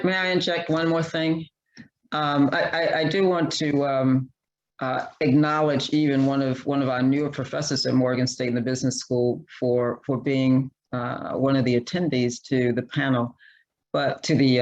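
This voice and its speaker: Earnest halting man, muffled call audio. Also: medium pitch. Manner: earnest, repetitive, halting with many restarts. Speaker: panelist. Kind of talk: online panel discussion.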